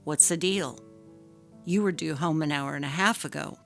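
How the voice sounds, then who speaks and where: A woman's voice: low voice, moderate pace, gravelly. A woman voicing a patient, neglected husband who pleads earnestly in a dramatic story reading. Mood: hurt, reproachful. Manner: earnest, gently reproachful. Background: faint music.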